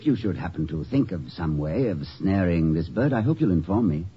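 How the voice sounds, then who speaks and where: An actor playing a witty, middle-aged college president in a 1950s radio comedy, articulate and wry, given to hemming and bluster. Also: male voice, low-pitched, very animated, brisk. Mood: courteous, resolute. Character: witty, indignant, erudite male college president. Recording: muffled, faintly noisy 1950s radio recording.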